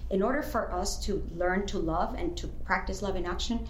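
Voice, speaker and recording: A woman's voice: medium pitch, normal speed, echoey room, slight background noise, rough recording. A woman in her thirties from Venezuela, speaking as an author and guest in an interview, earnest and reflective, often restarting her sentences. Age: 30s.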